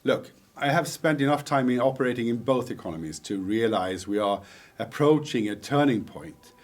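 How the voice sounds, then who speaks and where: A man's voice: mid-range voice, animated, moderate pace, lightly acted. An actor playing a grave male expert who lectures formally in a mockumentary film. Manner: formal, lecturing, emphatic lists.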